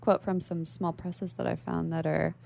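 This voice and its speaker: A woman with a fairly low voice and plenty of creak talks as a guest on a radio show. She explains literary ideas thoughtfully, in an unscripted conversational style. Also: flat delivery, normal speed, dark tone. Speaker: interview guest; library worker.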